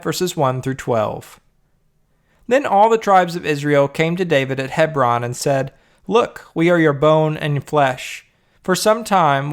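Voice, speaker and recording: A man with a fairly high, very clear and smooth voice reads scripture aloud as host of a daily readings program. His delivery is scripted, measured and formal. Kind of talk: scripted reading of Bible passages.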